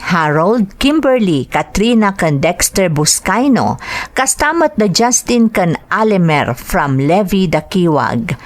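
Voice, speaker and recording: A woman with an Ilocano accent, hosting a radio drama program and reading out listener greetings. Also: medium pitch. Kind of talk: reading listener shout-outs and a letter.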